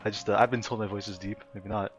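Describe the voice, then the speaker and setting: A man's voice: fairly low pitch, steady tone, fast talker. Young male streamer, giggly and rambling, talking to chat off the cuff; slightly dull lo-fi stream audio with faint music behind. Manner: giggly, laughs often and rambles with fillers. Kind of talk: casual livestream chat reading chat aloud. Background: faint background music.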